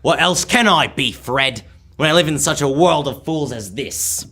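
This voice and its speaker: A man's voice: fairly high pitch, animated, moderate pace, bright, forceful tone, slightly rough, exaggerated voice. A young man playing a bitter, miserly old businessman in a live radio drama, speaking in a curt, scornful, emphatic way. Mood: angry. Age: teenager or young adult.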